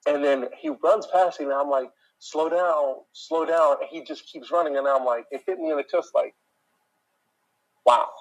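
A man with a deep voice that carries a faint huskiness. He tells a story from his job as a long, exasperated rant, in loose, casual chat aimed straight at his listeners. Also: animated, moderate pace, lo-fi sound.

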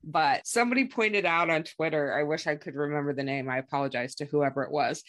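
A woman's voice: sits low, fairly bright tone. A woman hosting a podcast, chatting casually and gossipily as she recaps reality television. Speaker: podcast host.

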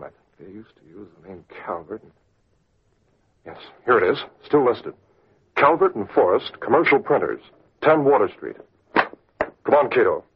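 A bossy crime fighter in an old radio serial, lively clipped talk, phone-like lo-fi audio. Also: male voice, lowish voice. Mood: intent. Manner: clipped, authoritative, deliberate. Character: decisive, commanding male masked crime-fighter. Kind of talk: 1940s radio drama acting. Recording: tinny, scratchy old radio recording.

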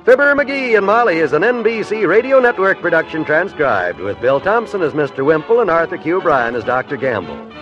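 A polished American male announcer in 1950s radio style. He sells with upbeat, animated intonation in a slightly high voice, on a dull vintage recording with faint music. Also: fairly quick, few pauses.

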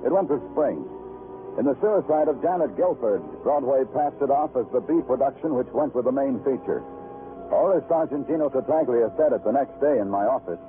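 A man's voice: medium pitch, lively intonation, fairly quick, over music, lightly acted. A man playing a world-weary, terse American police detective in an old radio crime drama, clipped and sardonic. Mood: brooding. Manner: clipped, sardonic, hard-boiled. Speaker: lead actor and narrator. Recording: muffled vintage radio broadcast recording.

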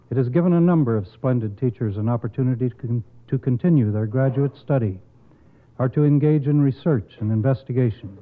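A man delivering a formal, measured prepared speech as an awards committee spokesman. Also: mid-range voice. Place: radio broadcast. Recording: muffled phone-like old radio recording.

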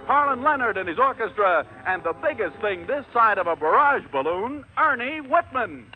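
Male 1940s radio variety announcer, high register, showy hepcat patter, narrow vintage broadcast sound. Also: very animated, moderate pace. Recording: tinny band-limited old radio recording, music behind.

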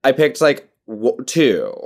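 A woman's voice: extremely low pitch, very animated, slow pace, a little mellow. Playful woman in her twenties. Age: 20s. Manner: playful, laughs often. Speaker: guest. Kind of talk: casual interview chat.

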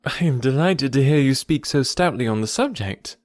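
A man voicing a poised, articulate young gentlewoman with a measured, formal and earnest manner, in a classic novel audiobook. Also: medium pitch, expressive, dry recording, studio quality, pitched above the performer's own voice, lightly acted. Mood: relieved, teasing.

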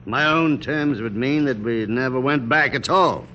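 An actor playing a grave, commanding army general in old radio drama, speaking in a formal, stately way. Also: male voice, mid-range voice, measured pace, pushed and bright, muffled recording. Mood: formal, resolute. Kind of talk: 1940s-50s radio drama acting. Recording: faintly noisy old radio recording.

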